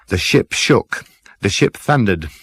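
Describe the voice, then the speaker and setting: A man reads a comic sci-fi audiobook. His voice sits a little low and moves with lively, expressive intonation. The sound is dry and close, the delivery dramatic and scripted. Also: slow, slightly rough, dry recording. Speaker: audiobook narrator.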